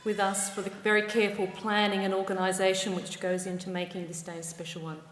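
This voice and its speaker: A woman's voice: mid-range voice, flat delivery, slight background noise. Australian woman addressing a reverberant hall. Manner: warm, measured speech with wry asides. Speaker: university ceremony presider. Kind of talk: formal ceremony address. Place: large ceremony hall.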